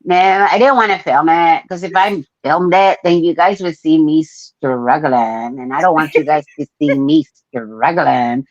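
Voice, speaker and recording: A woman's voice: low-pitched, unhurried, hardly any pauses, darkish tone, muffled recording. A woman voicing a brash valley-girl Mexican woman who cusses, in a put-on Mexican accent and a drawling sing-song way. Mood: boastful. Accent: Mexican-accented English, put on.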